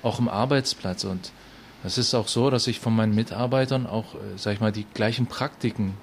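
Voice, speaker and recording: A man's voice: lowish voice, a little fast, a little hiss or hum. Reflective man in a documentary interview. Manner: reflective, searching for words.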